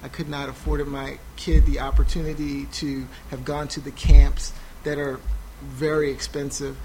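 A man speaking on a panel about his son's schooling, halting with many fillers and restarts. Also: on the high side, flat delivery, noisy background. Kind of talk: personal testimony at a panel. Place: small intimate room. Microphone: no PA, recorded for a podcast.

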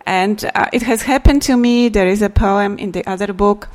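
Polish accented woman poet, middle-aged, easygoing q&a answers, mid pitch. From Poland. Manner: unhurried, reflective, with soft laughs and restarts. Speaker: poet and translator. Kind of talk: Q&A after a poetry reading.

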